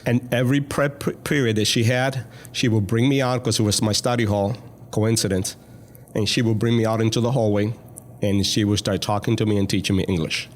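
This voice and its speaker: A man's voice: lowish voice, fairly even intonation, fairly quick. A man in his sixties, from Cuba with Spanish as his first language, plainly and earnestly recounting his life story as a school board president in an interview.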